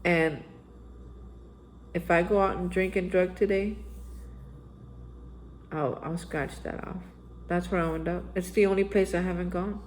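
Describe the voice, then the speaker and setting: A man's voice: high voice, fairly even intonation, unhurried, pauses often, a little hiss or hum, low-quality recording. A man giving a daily spiritual recovery reflection as a vlogger, talking earnestly and urging the listener on, often repeating himself and saying "man". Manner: earnest, exhorting, repeats phrases and says "man". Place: Austin, Texas area.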